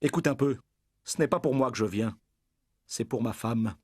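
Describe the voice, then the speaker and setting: A man voicing a cheeky, crafty young villager in a French animated storybook cartoon. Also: mid-range voice, normal speed, breathy edge, close to an everyday voice. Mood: nervous.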